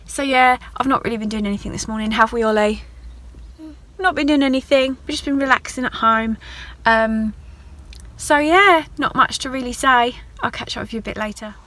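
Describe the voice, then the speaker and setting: A woman's voice: on the high side, normal speed, pushed and bright. A woman, a hairdresser chatting casually to her viewers in a video diary, rambling from one thing to the next. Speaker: vlogger and hairdresser.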